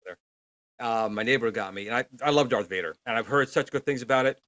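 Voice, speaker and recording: A man with a bright, forceful voice at a medium pitch chats as a panelist on a gaming show. He is casual, rambling and jokey. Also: fast talker, no echo. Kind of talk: casual chat.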